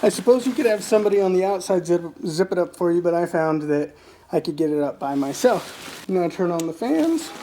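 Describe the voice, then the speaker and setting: A man's voice: sits high, animated, fairly quick, noisy background. A man giving a casual how-to demonstration as a costume vlogger.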